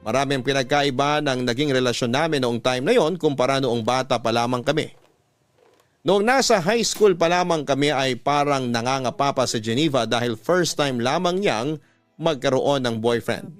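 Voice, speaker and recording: Male narrator as mid-pitched, sentimental letter writer. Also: normal speed, soft background music, natural voice. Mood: sentimental, reflective. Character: earnest man recounting his rekindled love in a letter. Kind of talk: scripted letter narration, classic radio storytelling.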